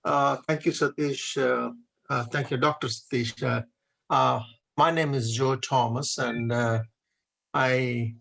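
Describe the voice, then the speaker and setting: An older man in his seventies from India whose first language is Hindi speaks with a gravelly, fry-edged voice at an ordinary pitch. He answers questions deliberately and fills his pauses with hesitations, the measured manner of a retired engineer giving an interview. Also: fairly even intonation, fairly bright tone. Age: 70s. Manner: deliberate, with frequent hesitation fillers.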